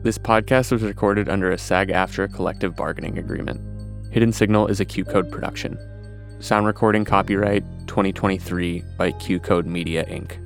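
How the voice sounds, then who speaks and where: Low-voiced male announcer over music. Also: fairly even intonation, a little fast, vocal fry. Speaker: announcer reading credits. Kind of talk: scripted credits reading. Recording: music bed underneath.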